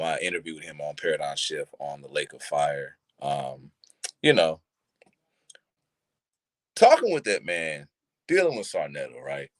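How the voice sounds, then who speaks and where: A man around thirty talking casually on a live-streamed show, animated, using street slang and checking in with listeners often. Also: a bass voice, no echo. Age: 30s. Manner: animated, street slang, repeats "you see what I'm saying". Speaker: podcast co-host. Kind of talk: casual chat. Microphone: live stream mic.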